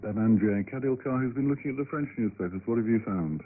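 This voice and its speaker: A man's voice: medium pitch, fast talker. A man presenting scripted radio news as the programme's anchor. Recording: narrow, clearly noisy shortwave radio.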